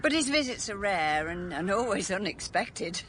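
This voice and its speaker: A woman's voice: medium pitch, animated, moderate pace, a bit bright, a little hiss or hum, lightly acted. An actress playing a kindly, chatty, motherly elderly housekeeper in a radio drama. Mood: musing. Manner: kindly, fussing, talkative.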